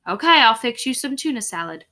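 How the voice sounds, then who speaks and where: A woman's voice: fairly high pitch, very animated, brisk, sharp tone, exaggerated voice. A woman reading the part of a patient, puzzled father who coaxes and questions his daughter in a storybook. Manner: questioning, coaxing.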